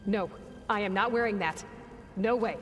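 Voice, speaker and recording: A woman's voice: medium pitch, moderate pace, big-room sound, music in the background, natural voice. Woman playing a blunt, sceptical game heroine. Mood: defiant. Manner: blunt, incredulous, natural delivery. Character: sceptical, defiant young heroine, wry and plain-spoken. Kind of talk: video game cutscene acting.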